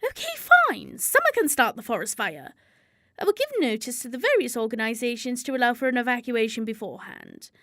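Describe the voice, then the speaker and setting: A woman's voice: on the high side, sing-song, a little fast, fairly bright tone, dry recording, clean studio sound. A woman acting a bossy, pompous season spirit in a comedic radio drama, speaking formally and officiously. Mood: brisk. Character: Spring, prim bossy female season chairing a meeting.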